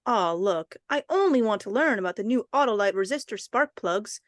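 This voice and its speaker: A woman playing an eager, wheedling pitch character in a mid-century radio commercial, peppy in manner. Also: lively intonation, moderate pace, dry recording, clean studio sound, natural voice. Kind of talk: 1950s radio commercial acting.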